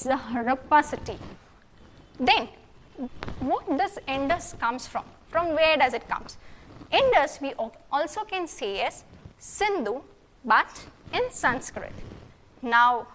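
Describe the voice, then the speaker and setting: A woman's voice: high-pitched, a bit bright. A woman teacher with an Indian English accent, giving a recorded lesson and checking in with students often. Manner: questioning, coaxing, checks in with "yes?" often.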